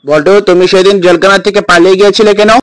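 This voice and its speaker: A man's voice: sits high, fairly even intonation, racing, no echo, natural voice. A man playing a stern, scolding judge in a comedy cartoon skit, with a formal manner. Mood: questioning.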